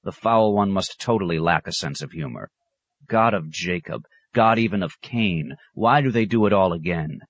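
A man's voice: deep voice, moderate pace, dry recording, lo-fi sound. A man voicing a weary, aging abbot, grave and bitterly sardonic, in an audiobook. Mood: anguished, raving.

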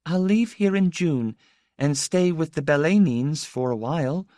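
A man voicing a gentle, hesitant young woman in an audiobook, politely calm, with a thin, sweetly modulated voice. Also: on the high side, normal speed, dry recording, clean studio sound, voice pushed up. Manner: hesitant, soft-spoken. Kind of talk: audiobook character dialogue.

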